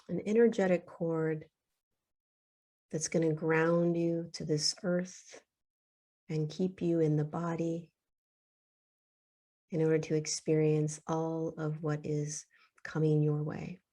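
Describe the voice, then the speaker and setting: A woman guides a meditation with a mellow, soft-edged tone. She speaks very slowly and soothingly, in short phrases with long pauses, and her pitch stays nearly flat.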